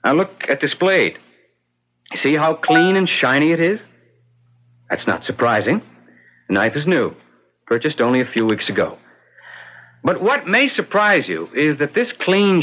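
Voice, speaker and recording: Confident defense lawyer, theatrical oratory, widely swinging pitch, radio drama, dull vintage recording. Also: male voice. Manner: dramatic, deliberate courtroom oratory. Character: theatrical, confident male defense attorney addressing a court. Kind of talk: old-time radio drama acting.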